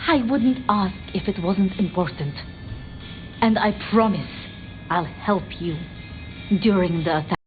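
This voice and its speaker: A woman playing a timid, bookish vampire researcher in a video game, hesitant and apologetic, stammering over halting phrases. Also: mid-range voice, phone-quality sound, soft background music, lightly acted. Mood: nervous, pleading. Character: timid, flustered, bookish female vampire researcher, devoted to her retainers. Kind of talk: video game dramatic dialogue.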